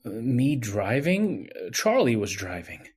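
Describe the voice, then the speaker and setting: A man playing a bewildered, earnest man insisting on his innocence in an old-time radio drama, with a husky voice. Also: medium pitch, sing-song, unhurried, darkish tone. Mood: dazed.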